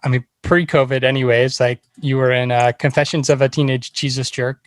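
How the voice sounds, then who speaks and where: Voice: medium pitch, steady tone, brisk, no room sound, clean studio sound. A podcast host of unclear sex chatting casually, with an informal, enthusiastic way of talking. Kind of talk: casual interview chat.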